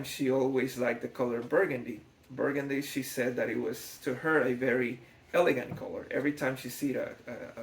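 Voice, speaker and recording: A man from Guatemala gives an unscripted documentary-style explanation, thoughtful and hesitant, often repeating words as he goes. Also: medium pitch. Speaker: scale model builder, documentary narrator. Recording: echoey, reverberant room.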